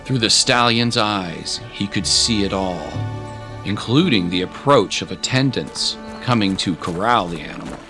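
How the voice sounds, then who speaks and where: A man reading scripted dramatic narration of a fantasy adventure in a measured, deliberate way with pauses for effect. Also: lowish voice, music in the background. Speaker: storyteller, narrator.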